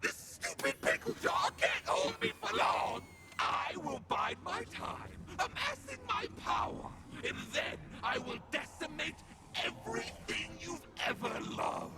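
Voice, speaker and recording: An actor voicing a vengeful, theatrical ghost villain in a video game, grandiose and taunting, with a gravelly, shrieking delivery. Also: male voice, extremely high pitch, big pitch swings, measured pace, heavily acted. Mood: enraged ranting. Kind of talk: video game cutscene acting. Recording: loud music under the voice.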